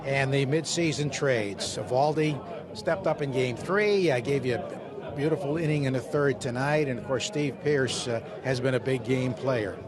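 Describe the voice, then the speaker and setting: A man, a radio baseball broadcaster, talking conversationally with frequent pauses during post-game coverage from a hallway outside a clubhouse. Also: mid-range voice. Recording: noisy celebration crowd behind.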